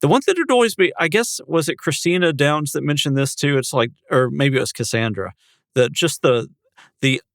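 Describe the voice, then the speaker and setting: A man's voice: fairly high pitch, expressive, normal speed, vocal fry, a bit bright, no room sound, clean studio sound. A man co-hosting a podcast, talking in a casual, conversational style with his partner. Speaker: podcast co-host.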